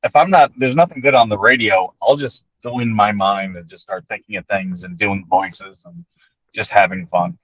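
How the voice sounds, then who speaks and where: A man's voice: fairly low pitch, moderate pace, a bit bright, no echo. A man joining a casual chat as a guest over a remote call, friendly and plain-spoken. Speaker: guest, military veteran. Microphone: remote call connection. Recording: dull lo-fi call audio.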